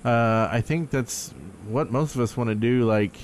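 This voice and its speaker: A man's voice: mid-range voice, unhurried, a little hiss or hum. An American man co-hosting a live call-in podcast, joking and self-deprecating, with lots of "like". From United States. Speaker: podcast co-host. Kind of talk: casual live call-in chat.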